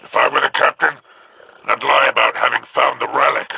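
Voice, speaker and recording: An actor playing a shrewd, stingy male mercenary in a video game, with a gravelly voice and a wry, calculating manner. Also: mid-range voice, flat delivery, moderate pace, sharp tone, heavily acted. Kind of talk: video game character dialogue.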